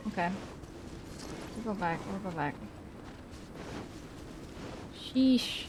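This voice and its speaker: A woman's voice: mid-range voice, animated, extremely slow, noisy background. A woman giving casual live commentary as she streams a game, exclaiming and muttering repeated words to herself. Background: game music.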